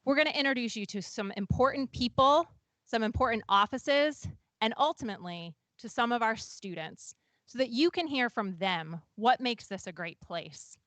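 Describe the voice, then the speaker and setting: A woman's voice: a little high, animated, normal speed, fairly bright tone, dry recording. A woman admissions director giving an upbeat, reassuring welcome to a virtual open house. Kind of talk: welcoming presentation.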